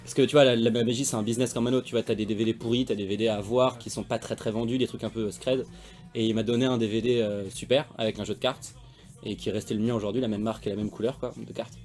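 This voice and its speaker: A man's voice: lowish voice, fairly even intonation, quick pace, darkish tone. A young man from France, a magician guest, chatting casually in slangy, rambling talk. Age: young adult. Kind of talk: casual interview chat.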